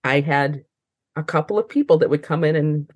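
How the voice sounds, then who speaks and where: A woman's voice: low voice, normal speed, darkish tone, no room sound, studio quality. An American woman talking casually as an interview guest, rambling and often trailing off mid-thought. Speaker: interview guest, librarian and trainer.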